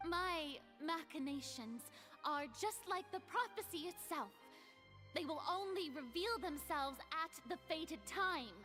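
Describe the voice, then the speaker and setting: A woman's voice: very high voice, animated, a little slow, bright, forceful tone, full character voice. A woman voicing a proud, youthful, theatrical game goddess, grandiose and defensive as she hides her insecurity. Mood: lofty, defensive. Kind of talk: video game dialogue acting. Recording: background music over game audio. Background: game music.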